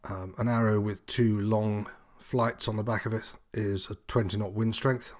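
Man, lowish pitch, instructor, informal unscripted walkthrough, hesitant meandering explanations. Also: little pitch movement, normal speed. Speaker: sailing instructor presenting tutorial.

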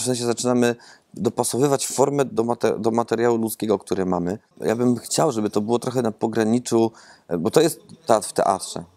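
A man's voice: fairly low pitch, warm tone. A man in his thirties, a theatre director, giving rambling, thoughtful interview answers with many hesitations and repeated words, seated in an empty theatre auditorium and speaking into a lapel mic.

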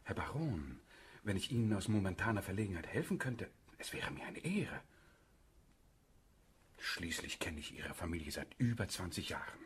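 A man's voice: deep voice, sing-song, normal speed, breathy edge, full character voice. An actor playing an obliging, deferential yet firm middle-aged merchant father in a German radio play.

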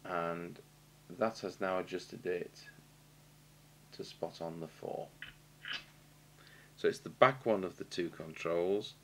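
A British man with a low voice talks through a hands-on technical job. He is matter-of-fact and halting, thinking aloud as he goes. A faint noise and hum sit behind him. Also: steady tone, a bit bright, low-quality recording. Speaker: radio repair technician demonstrating. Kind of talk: unscripted technical demonstration commentary. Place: indoor workshop at a bench. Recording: clear background noise and hum.

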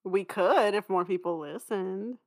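A woman's voice: medium pitch, measured pace. A young woman co-hosting a podcast, chatting casually with a dry, self-deprecating, joking manner. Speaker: podcast co-creator and host. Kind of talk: casual banter and thank-you message.

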